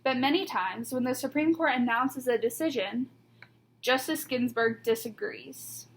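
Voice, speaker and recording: A woman reading a children's picture book aloud like a teacher, with deliberate emphasis on key words. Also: sits high, low-quality recording. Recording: noticeable background noise.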